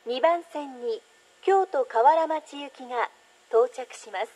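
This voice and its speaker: A woman's voice: high-pitched, slow pace, darkish tone, soft background music. A woman making formal, carefully enunciated recorded train platform announcements as a station announcer.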